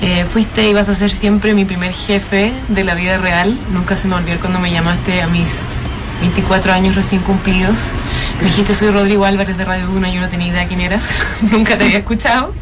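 A young woman, a radio journalist, giving a soft, hesitant, heartfelt farewell message. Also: mid-range voice, flat delivery, brisk. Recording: music playing behind.

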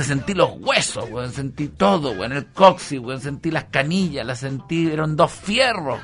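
A man's voice: on the high side, pushed and bright. A man with a Chilean accent, hosting a radio show in a casual, slang-filled rambling monologue. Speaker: radio host.

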